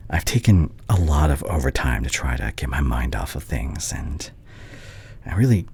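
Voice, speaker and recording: A man playing a heartbroken, devoted young doctor ex-boyfriend in an intimate audio roleplay, hushed and halting, often slipping toward a whisper. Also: very deep voice, animated, gravelly, breathy edge, heavily acted. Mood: tender, devoted. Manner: halting, emotional, confessional.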